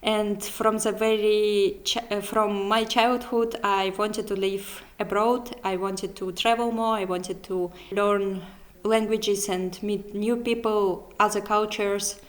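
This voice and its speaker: Young woman vlogger, mid pitch, just chatting casually and correcting herself. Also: little pitch movement, takes it slowly. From Kazakhstan. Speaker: vlogger, software developer. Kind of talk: casual chat to viewers.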